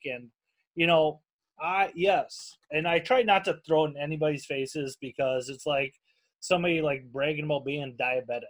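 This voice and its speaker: A man with a Minnesotan accent chats casually as a podcast host. His voice sits a bit high for a man, and he rambles along with plenty of swearing. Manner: rambling, heavily profane. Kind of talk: casual chat.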